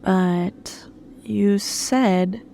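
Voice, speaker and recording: An actress playing a sweet, innocent, trusting young woman in old-time radio suspense style, with a gentle, soft manner. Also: medium pitch, very slow, creaky, slight background noise. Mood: warm, trusting.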